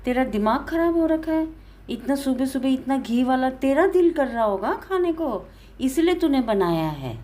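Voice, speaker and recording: A woman's voice: high-pitched, very animated, moderate pace, warm tone, pitched above the performer's own voice. A woman voicing a stern older mother-in-law in a dramatised story, nagging with accusing, scornful questions. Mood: angry, scornful.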